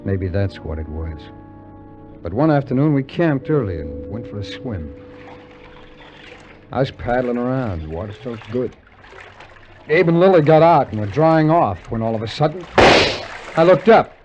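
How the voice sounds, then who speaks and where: A man acting a world-weary male adventurer telling his story in an old radio drama. His delivery is wry and sardonic, swinging widely in pitch. Music plays clearly behind the muffled vintage recording. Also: sing-song, moderate pace, pauses often, a little mellow, close to an everyday voice. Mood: brooding. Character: cynical, world-weary male adventurer named Johnny narrating his tale. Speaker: narrator and lead character. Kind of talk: 1950s radio drama narration.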